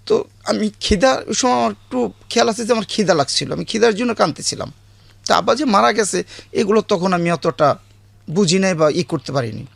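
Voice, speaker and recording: A man with a rural Bangladeshi accent, a guest recounting childhood memories in a halting way, often correcting himself. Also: sits high, lively intonation, creaky, no echo.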